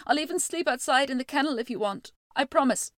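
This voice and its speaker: A woman's voice: high voice, animated, fast talker, fairly bright tone, no room sound, clean studio sound, voice pushed up. A woman voicing a young boy hero, pleading and full of tearful promises. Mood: earnest.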